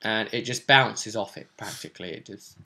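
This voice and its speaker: A man's voice: on the low side, expressive, moderate pace, creaky, sharp tone. A man giving a casual, rambling recap of a tabletop role-playing session as the game master.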